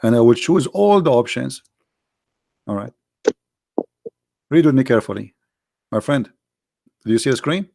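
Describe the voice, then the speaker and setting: A man's voice: medium pitch, lively intonation, normal speed, vocal fry, long pauses, warm tone, no echo, clean studio sound. A man whose first language is Arabic, hosting a live unscripted debate in an insistent, challenging manner, often repeating himself. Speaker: host debating a guest.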